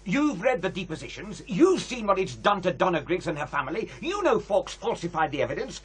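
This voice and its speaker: An actor playing a defiant, sardonic British radio presenter with a husky voice, accusing and insistent, in a radio drama. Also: male voice, high-pitched, sing-song, brisk, full character voice.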